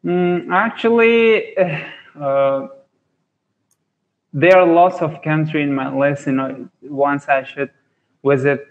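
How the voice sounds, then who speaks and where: Hesitant young man from Afghanistan, muffled. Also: fairly high pitch. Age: 20s. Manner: halting, searching for words, non-native phrasing. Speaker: university student practising English. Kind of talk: casual video call chat. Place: indoor room. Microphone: earphone headset on a video call.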